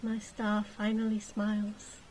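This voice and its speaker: A woman reader voicing a gentle, patient young man who soothes his fiancée in a storybook reading. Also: fairly high pitch, a little slow, slight background noise, natural voice, poor audio. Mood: tender. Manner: soft, tender, reassuring.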